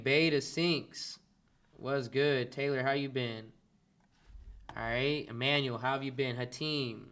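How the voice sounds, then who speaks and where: A man's voice: medium pitch, a bit bright, clear, resonant tone. A man from Kansas City, coaching on a casual livestream and greeting his chat viewers by name. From Kansas City, Missouri, US. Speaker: dropshipping coach hosting a livestream.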